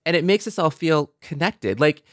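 A man's voice: a little high, lively intonation, moderate pace, a bit bright, dry recording, clean studio sound. A man co-hosting a podcast in a conversational style. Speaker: podcast co-host.

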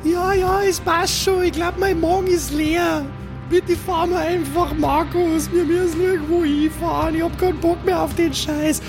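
A man's voice: very high-pitched, steady tone, moderate pace, pitched above the performer's own voice. A man voicing a shaken, fed-up woman who is weary and whining in an improvised audio drama. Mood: exhausted, fed up. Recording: music bed behind the voice.

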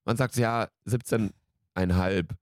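A man's voice: fairly low pitch, fairly even intonation, a little slow, background noise. A man in his late twenties co-hosting a podcast in casual, slangy, jokey banter. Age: late 20s. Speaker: podcast co-host.

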